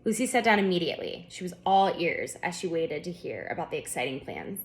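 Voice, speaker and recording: A woman's voice: fairly low pitch, big pitch swings, fairly quick. Woman reading a children's story aloud. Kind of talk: storytime narration of a children's book.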